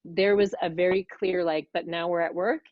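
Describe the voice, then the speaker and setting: A woman hosting a podcast interview, chatty and quick to laugh, with frequent restarts. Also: lowish voice, steady tone, no echo, narrow, tinny audio. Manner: warm, chatty, laughs often, rambling restarts. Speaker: podcast host. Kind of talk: casual interview chat.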